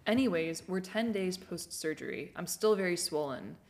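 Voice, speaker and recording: A woman's voice: fairly low pitch, normal speed, darkish tone. A woman co-hosting an advice show, chatting casually and reading listener questions aloud.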